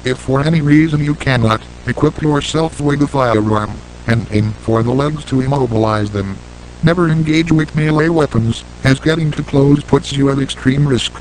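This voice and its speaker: A man delivering a scripted emergency warning as an announcer, in a formal, measured and impersonal manner, in analog horror style. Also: medium pitch, a little hiss or hum, poor audio.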